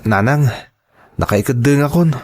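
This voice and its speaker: A man's voice: medium pitch, animated, a little slow. A man acting in a radio drama as a shy, earnest young man in love, with an Ilocano accent and a hesitant delivery.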